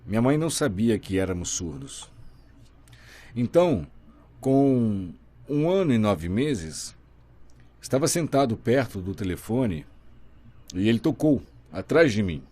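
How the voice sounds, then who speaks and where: A man voicing a deaf guest's signing in the first person, telling his story in a lively, expressive way. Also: on the low side, measured pace, pauses often. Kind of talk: simultaneous voice interpreting, first person.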